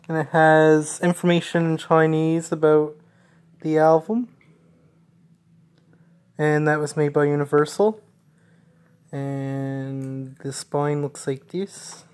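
A woman narrating a casual unboxing in a halting, deliberate way. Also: deep voice, little pitch movement, a little mellow. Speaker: unboxing video maker.

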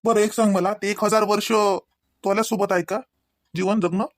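A man's voice: high voice, fairly even intonation, moderate pace, no room sound. A man plays a work-minded husband in a comedy cartoon, forever exasperated. He speaks with a Varhadi Marathi accent and sarcastic impatience. The sound is close and dry, with no room around it. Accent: Varhadi Marathi from Vidarbha.

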